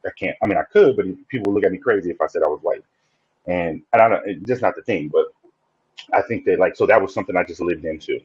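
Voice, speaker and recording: Man, very low voice, husky, dry dull sound, reflective, restarts sentences. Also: fast, dry recording. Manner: reflective, speaks in restarts and repeats. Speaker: podcast guest. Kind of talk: casual interview conversation.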